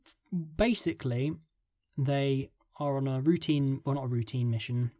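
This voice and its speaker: Male podcast guest, mid-pitch, halting and filler-heavy, dry close sound. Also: a little mellow, no room sound. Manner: hesitant, filler-heavy, trails off mid-thought. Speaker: guest script writer. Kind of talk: casual podcast interview.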